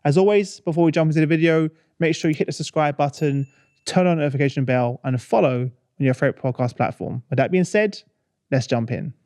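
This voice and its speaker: A man's voice: fairly high pitch, fast, darkish tone, no room sound. A man hosting a studio podcast, upbeat and chatty, laughing often. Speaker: podcast host. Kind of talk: podcast interview.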